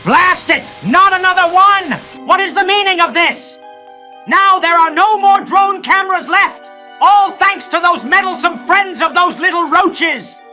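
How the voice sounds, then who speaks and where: Very high voice, piercing, gloating mad scientist villain, theatrical, cartoonish comic dub. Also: male voice, a little slow, pushed and bright, faint music behind, exaggerated voice. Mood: angry, bullying. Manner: theatrical, gloating, barking orders. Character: gleefully wicked mad scientist villain, scheming and bullying.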